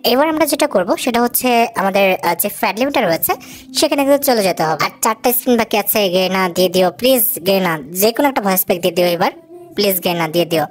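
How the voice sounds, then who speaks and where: A woman's voice: mid-range voice, expressive, racing. A woman with a Bangladeshi accent hosting a gaming video, chatting casually to viewers as she comments on her gameplay. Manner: chatty, addresses viewers directly with casual asides. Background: background music.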